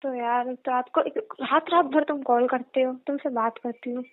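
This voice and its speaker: A young woman with a high voice talks in a private, casual phone chat. She is coy and keeps gently protesting and pleading. The sound is narrow and phone-like, with no room around it. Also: fairly even intonation, moderate pace, no room sound. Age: young adult. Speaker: girlfriend on a phone call. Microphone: phone call. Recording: muffled narrow-band phone call recording.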